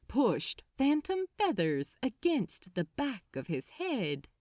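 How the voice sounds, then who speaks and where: A woman reading a story aloud as a dramatic, expressive and deliberate narrator. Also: fairly high pitch, dry recording, studio quality. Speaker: audiobook narrator.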